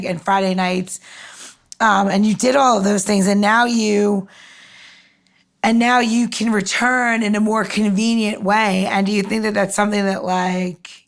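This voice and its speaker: Lady podcast host, mid pitch, chatty, rambles around her questions. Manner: rambling, circling phrasing. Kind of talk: casual chat, asking questions.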